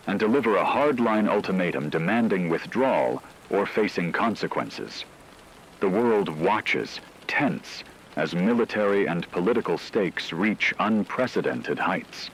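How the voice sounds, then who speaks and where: A man playing a solemn radio anchor, reading a scripted emergency war bulletin in a measured, formal way. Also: deep voice, fairly bright tone, slightly rough, exaggerated voice, low-quality recording. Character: grave male radio anchor reporting a world war. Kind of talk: scripted emergency news broadcast.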